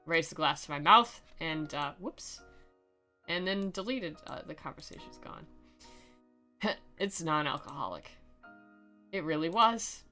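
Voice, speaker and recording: Sarcastic woman narrator with a bright voice. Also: low-pitched, expressive, a little slow, long pauses, soft background music. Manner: sarcastic, theatrical. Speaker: narrator and commentator. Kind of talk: sarcastic dramatic reading with casual commentary.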